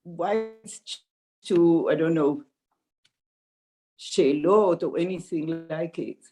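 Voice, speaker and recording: A woman's voice: low voice, slow, dark tone, slight background noise, rough recording. A woman from Israel with an Israeli accent, asking a hesitant question on a video call. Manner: hesitant, searching for words. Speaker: audience member asking a question.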